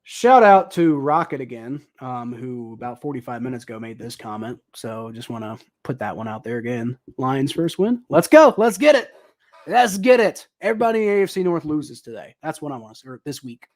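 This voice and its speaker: Casual young man calling football, slightly raspy. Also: medium pitch, sing-song, brisk, darkish tone. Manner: rambling, thinking aloud with many ums and uhs. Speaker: livestream host commentating football. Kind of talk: casual live play-by-play commentary.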